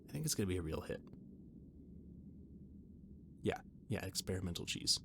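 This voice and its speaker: Man playing a low, gravelly, flustered catboy cook. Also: big pitch swings, moderate pace, pushed and bright, heavily acted. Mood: earnest, nerdy. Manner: hesitant, stammering, evasive, nerdy rambling. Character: flustered, rambling catboy ship's cook and mechanic, eager friend. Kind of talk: intimate one-sided roleplay audio drama. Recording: noticeable background noise and ambience.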